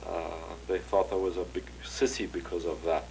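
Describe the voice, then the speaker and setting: An older man giving a measured, recalled testimony as an interviewee, with frequent pauses and filler sounds. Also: low voice, level tone, darkish tone, a little hiss or hum, poor audio.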